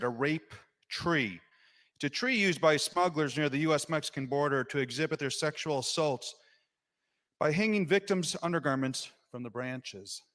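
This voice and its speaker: Legislator, male, impassioned floor speech, slightly high voice, long chamber reverb. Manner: impassioned, rising to loud emphasis. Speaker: state legislator. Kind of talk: floor speech explaining a vote.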